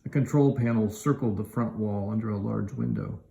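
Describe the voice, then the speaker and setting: A man reading a children's storybook aloud in an animated narrating style. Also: lowish voice, moderate pace, creaky. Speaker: elementary teacher reading aloud.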